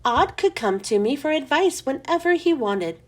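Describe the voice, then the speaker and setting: A woman narrating a children's storybook in an expressive, animated read-aloud style. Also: high-pitched, moderate pace. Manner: animated, storytelling for young listeners.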